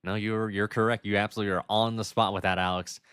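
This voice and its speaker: A man with a fairly low voice, recorded dry and close. He commentates a card game in a casual, conversational way, wondering aloud about what comes next. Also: little pitch movement, fast, a bit bright, clear, resonant tone, no room sound. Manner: conversational, speculating aloud with rhetorical questions. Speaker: match commentator.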